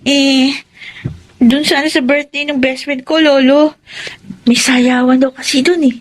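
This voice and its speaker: An actress playing a sweet, emotional young granddaughter in a radio drama. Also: female voice, high voice, fairly even intonation, a little slow. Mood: eager, sweet. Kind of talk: Tagalog radio drama acting.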